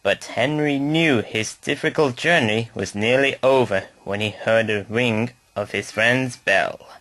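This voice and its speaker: A man's voice: mid-range voice, unhurried, a bit bright, low-quality recording. A man reading a scripted children's story aloud as narrator.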